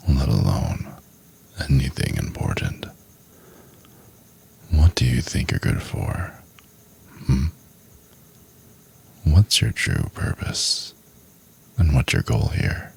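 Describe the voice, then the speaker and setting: A man acting as a cold male CEO in an ASMR roleplay, with an extremely low voice that crackles with heavy fry. He speaks slowly and condescendingly, slipping into breathy half-whispers as he belittles an underling. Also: exaggerated voice. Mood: mocking, domineering. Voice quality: breathy, gravelly, very deep. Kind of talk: soft-spoken ASMR roleplay.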